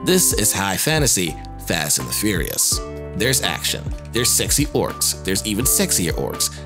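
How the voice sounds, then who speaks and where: Lively, wry man over music. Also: low-pitched, a little slow. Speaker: video host and narrator. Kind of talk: scripted video essay commentary with casual asides. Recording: music under the voice. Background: background music.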